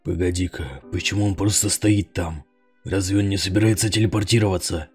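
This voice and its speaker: A man's voice: deep voice, fairly even intonation, brisk. A man playing a wary male monster guard with a plain, uneasy manner in a comic dub. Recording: loud music under the voice.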